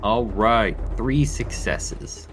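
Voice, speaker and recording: A man's voice: on the low side, drawn-out words, music in the background. A man talking casually as a player in a tabletop game.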